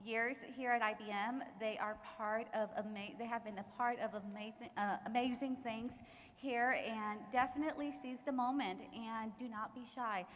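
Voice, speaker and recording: A woman's voice: a little high, steady tone, normal speed. Upbeat woman hosting a panel in a hall. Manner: upbeat, encouraging, with a few restarts. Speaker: event moderator, recruiter. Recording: reverberant hall with faint room noise.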